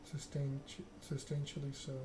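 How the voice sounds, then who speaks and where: A man voicing a stern female vampire prince from a narrative game, with a formal, imperious, deliberate delivery. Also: mid-range voice, monotone, noisy background, lightly acted.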